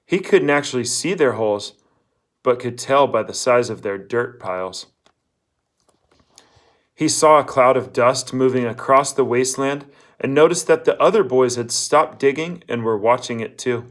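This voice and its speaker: A man's voice: mid-range voice, normal speed. A man narrating a children's novel, reading aloud from the text as a storyteller. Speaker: audiobook reader.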